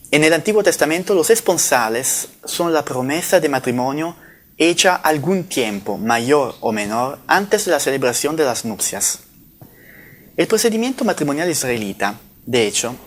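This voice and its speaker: A man's voice: fairly high pitch, sing-song. Italian-accented man reading a formal lecture. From Italy. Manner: measured, formal delivery with occasional self-corrections. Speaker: conference speaker. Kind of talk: formal academic lecture read from notes.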